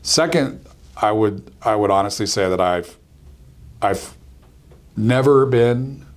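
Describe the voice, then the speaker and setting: A man in his fifties, an insurance agency owner, talking in a casual, rambling way with many pauses and ums as a podcast guest. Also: on the low side. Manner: easygoing, rambling, frequent ums.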